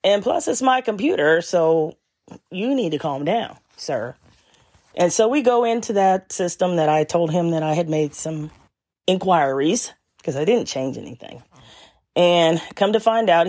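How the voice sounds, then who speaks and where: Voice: mid-range voice, darkish tone. Laid-back, wry podcast storyteller. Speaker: podcast host. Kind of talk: casual storytelling monologue.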